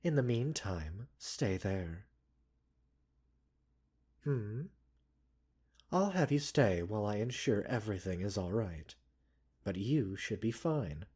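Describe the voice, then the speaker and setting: A man performs as a gentle, self-assured doctor tending a patient in an ASMR roleplay. The voice sits low and breathy, with lively rises and dips. He is polite and soothing, lightly scolding and teasing as he goes. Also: heavily acted. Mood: mildly teasing. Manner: soothing, unhurried, politely formal with teasing asides. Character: gentle, composed male pharmacist doctor, mildly chiding and self-assured. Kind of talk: ASMR roleplay audio drama.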